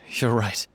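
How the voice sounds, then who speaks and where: A man voicing a hot-tempered, grieving, accusing theatre troupe actor in a dramatic video game. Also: a little hiss or hum. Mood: subdued.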